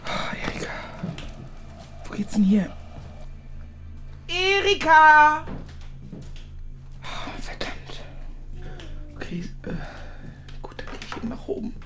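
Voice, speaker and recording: An actress playing an outspoken younger city woman in a radio play, direct, colloquial and expressive. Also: sits high, takes it slowly, pauses often, whispered, exaggerated voice. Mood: calling out. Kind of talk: naturalistic German radio play acting.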